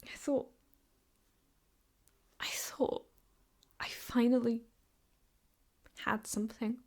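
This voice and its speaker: A woman acting as an obsessive yandere ex-girlfriend with a fairly high voice, in an ASMR roleplay. She speaks very slowly and haltingly, possessive and intimate, often dropping into a whisper. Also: flat delivery, a little mellow. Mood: wounded, bitter. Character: obsessive, possessive yandere ex-girlfriend holding her captive lover.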